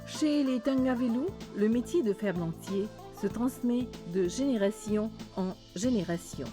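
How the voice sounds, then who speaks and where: A woman giving measured, polished scripted narration for a documentary. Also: a little high. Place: voice-over studio. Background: music.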